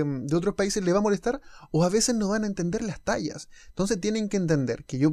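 High, dark-toned man with a Chilean accent. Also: no room sound, studio quality. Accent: Chilean Spanish, softened toward neutral. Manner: casual, direct, explaining himself to viewers. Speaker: gaming YouTuber. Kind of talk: unscripted talk to camera over gameplay.

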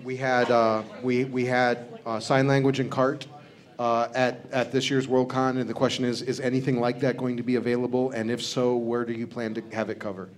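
A man moderating a question session, halting as he reads questions from paper. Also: mid-range voice. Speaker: panel moderator.

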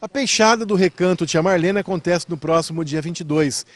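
A middle-aged man reporting outdoors, asking brisk interview questions into a handheld mic. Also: high-pitched, no echo. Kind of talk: field interview. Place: outdoors by a brick wall.

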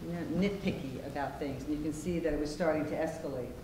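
A middle-aged woman speaking on a theater stage in a measured, explanatory way. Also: low-pitched. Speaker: panelist, conflict resolution practitioner. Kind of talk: onstage discussion. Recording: distant, echoey stage with noise.

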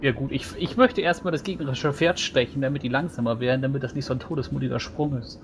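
A man's voice: medium pitch, quick pace. A man chatting casually as a player in a tabletop roleplaying game. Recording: faintly noisy voice recording.

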